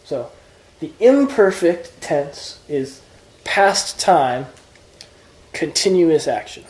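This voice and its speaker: A man's voice: fairly high pitch, sing-song, takes it slowly, a little mellow, reverberant, low-quality recording. A man teaching introductory Greek grammar in an informal lesson, explaining patiently step by step with examples. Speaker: Greek tutor.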